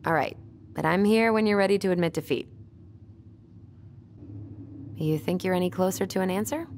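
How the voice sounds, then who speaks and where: A woman's voice: fairly low pitch, moderate pace, darkish tone, a little hiss or hum, natural voice. A woman playing a wry, teasing young twin sister in an understated, naturalistic video game style. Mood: gently teasing. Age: young adult.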